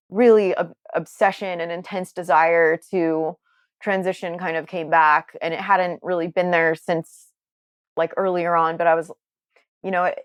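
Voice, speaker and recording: A woman's voice: on the low side, clear, resonant tone, no room sound, studio quality. A young adult woman talking as an interview guest, candid and earnest, meandering and often circling back mid-sentence.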